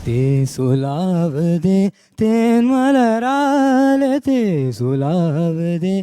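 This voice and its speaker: A woman's voice: on the low side, sing-song, drawn-out words, dark tone, clean tone, faint music behind. A woman singing Tamil film songs as a contestant at an audition.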